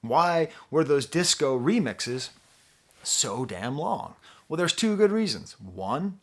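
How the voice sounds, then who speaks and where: A man giving a casual on-camera album review, talking in an animated, rambling and self-deprecating way. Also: fairly high pitch, takes it slowly. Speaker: music reviewer.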